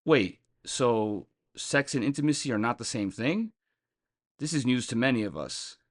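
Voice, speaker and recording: A man's voice: medium pitch, expressive, moderate pace, dry recording, clean studio sound. A man reading a scripted daily meditation as a reader on a recovery podcast.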